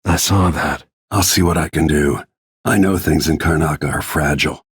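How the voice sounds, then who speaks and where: An actor playing a grim, weary middle-aged bodyguard turned assassin in a video game, speaking tersely with a gravelly, husky voice. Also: male voice, rumbling low, normal speed, dry recording, clean studio sound, exaggerated voice. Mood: grim, restrained. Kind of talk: scripted game voice lines, short dramatic remarks.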